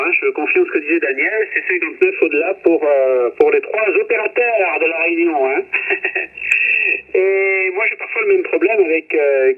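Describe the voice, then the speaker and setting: Man, amateur radio operator, husky, high voice, casual chat, tag words, dull radio sound. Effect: radio transmission. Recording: muffled radio transmission.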